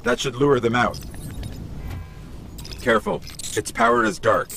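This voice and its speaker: A man voicing a small robotic companion in a video game, a calm guide who talks in a measured, dryly informative way. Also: medium pitch, gravelly, background noise, full character voice. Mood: wary. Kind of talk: scripted game voice acting, mission narration.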